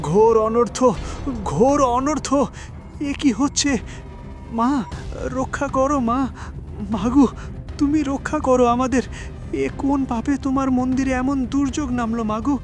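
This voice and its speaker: Very high-voiced, devout temple priest. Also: male voice, a little slow, pauses often, soft background music. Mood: frantic, pleading. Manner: pleading, theatrical, exclamatory. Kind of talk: Bengali audio horror story acting.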